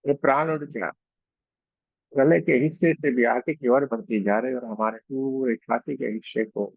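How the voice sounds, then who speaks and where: Creaky-voiced man guiding a meditation. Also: medium pitch, fairly even intonation, dry recording, phone-quality sound. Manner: slow, soothing, repetitive instruction with frequent pauses. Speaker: reiki meditation guide. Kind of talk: guided meditation.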